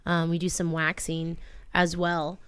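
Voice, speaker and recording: Clear, smooth-voiced woman chatting on radio. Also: on the low side, completely flat delivery, measured pace, no echo. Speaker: radio show co-host and hairstylist. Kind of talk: casual radio chat. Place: radio studio.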